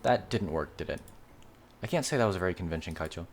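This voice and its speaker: Supportive young student lead read by a man, visual novel, casual, sincere, slightly low, fast-paced. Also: animated, soft background music. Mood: concerned. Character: easygoing, supportive young college student protagonist.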